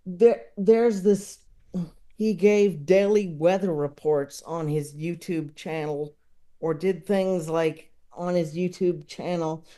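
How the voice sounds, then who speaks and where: Slow-talking, halting man in mellow conversation. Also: sits high, steady tone. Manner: halting, rambling, with chuckling asides. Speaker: podcast guest, comedy enthusiast. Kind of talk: casual interview conversation.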